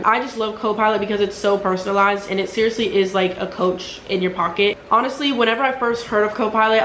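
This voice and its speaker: A young woman in her twenties chats casually to a camera set a few feet off, her voice sitting in a comfortable middle range. She sounds a little congested as she talks. The room around her rings with a roomy echo, and a faint hum of ambience sits behind her. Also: mid-range voice. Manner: chatty, upbeat, frequent "like" fillers. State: slightly congested. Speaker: lifestyle vlogger. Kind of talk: casual vlog chat and read sponsor spot. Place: at home, apartment kitchen and room. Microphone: camera at a distance.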